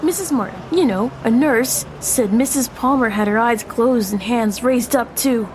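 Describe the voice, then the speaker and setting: Nervous, earnest seventh-grade boy played by a woman, very high voice, audio drama with clear traffic noise behind. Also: moderate pace, a little mellow, lightly acted, low-quality recording. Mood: gossipy, spooked. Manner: earnest, hesitant. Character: anxious middle school boy confiding in his best friend. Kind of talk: family audio drama acting.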